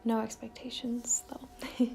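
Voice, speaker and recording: A woman leading an impromptu guided meditation in a soft, gentle voice that often trails toward a whisper. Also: on the high side, level tone, slow pace, creaky, soft background music, low-quality recording. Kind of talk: impromptu guided meditation with casual rambling introduction.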